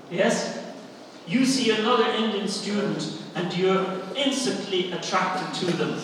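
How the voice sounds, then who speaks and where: A middle-aged man who grew up speaking German gives a live seminar talk in a fairly high voice. He punctuates his points with rhetorical questions and short check-in tags. He is heard from a distance in a heavily echoing hall with an audience. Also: sharp tone, a little hiss or hum. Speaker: university lecturer and seminar speaker. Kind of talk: live seminar presentation to students. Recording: very echoey hall, distant microphone.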